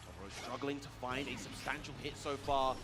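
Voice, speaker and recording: A man's voice: medium pitch, a little fast. A man giving fluent, analytical play-by-play commentary on a fighting game match. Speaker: esports commentator. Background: music.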